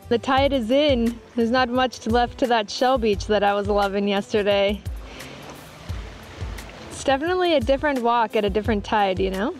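Woman in her thirties, bubbly and giggly, fairly high voice, outdoor phone vlog, music underneath. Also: moderate pace. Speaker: sailing vlogger. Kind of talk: casual vlog chat. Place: outdoors on a wooded island shore. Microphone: phone at arm's length.

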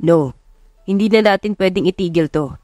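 A smooth-talking man in his late twenties voiced by a woman narrator in an audio romance. He is stubborn and assured, slipping English into his Tagalog. The sound is dry and close. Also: fairly low pitch, normal speed, a little mellow, no room sound, faint music behind, natural voice. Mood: pleading, insistent.

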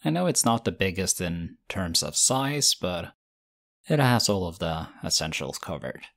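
A young man voicing an affectionate, teasing boyfriend in an audio roleplay, speaking softly and hushed. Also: sits low, unhurried, heavily acted. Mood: tender, teasing. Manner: soft, hushed, teasing with light laughs. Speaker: voice actor. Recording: steady ambient background noise. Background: busy airport ambience.

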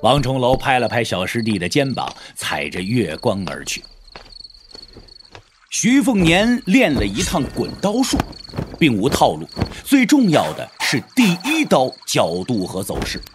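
A man's voice: medium pitch, wildly swooping pitch, a little slow, noisy background. A man narrating a martial arts audio drama in a dramatic, scripted storytelling style.

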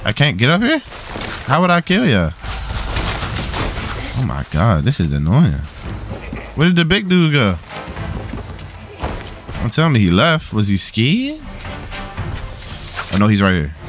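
A man's voice: medium pitch, wildly swooping pitch, measured pace, pauses often. A man giving casual, slangy gameplay commentary, swearing at the game while getting over a cold. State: getting over a cold, slightly rough throat. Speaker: gaming video host. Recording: game music playing behind.

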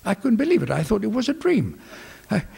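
An older man with a Greek accent, telling his wartime memories in a lively storytelling manner, acting out the dialogue. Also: sits high, a little fast, gravelly, slightly rough, long reverb tail. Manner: lively storyteller, acts out dialogue with hand gestures. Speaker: veteran fighter pilot. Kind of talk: recounting war memories. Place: office with flags, indoors. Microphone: desk microphones in front.

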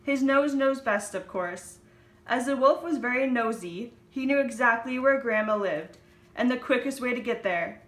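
Female storybook narrator, high and bright, scripted delivery, roomy home recording. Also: normal speed.

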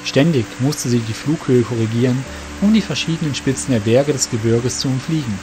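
A male narrator reads a fantasy tale in a descriptive storytelling style. His voice sits at a medium pitch, with music clearly heard behind it. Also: fairly quick. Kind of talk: scripted fantasy audiobook narration.

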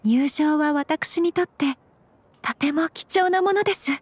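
An actress playing a polite, refined young noblewoman in an anime game, speaking gently and very formally. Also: high-pitched, measured pace. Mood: earnest, composed. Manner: very formal honorific speech, composed and courteous. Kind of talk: Japanese anime game voice acting.

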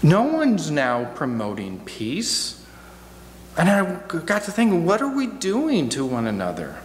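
A middle-aged American man preaches slowly and reflectively in a fairly high voice. He leaves deliberate pauses between phrases, and his words ring with long reverb in a church. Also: huge pitch swings. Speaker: guest preacher. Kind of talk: reflective sermon. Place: church sanctuary, at a pulpit. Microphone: gooseneck pulpit microphone. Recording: echoey hall, noticeable hum.

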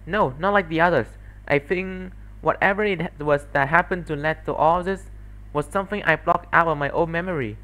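A man voicing an earnest female former researcher who explains things in a measured, guilty way, in an amateur visual novel fandub. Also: on the high side, pushed and bright, low-quality recording. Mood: regretful.

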